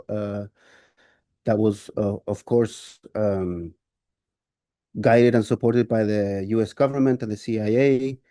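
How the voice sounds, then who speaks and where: A man's voice: medium pitch, mellow, no room sound, clean studio sound. A man in his fifties from Chile, a guest artist answering interview questions thoughtfully, with many restarts and fillers. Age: 50s.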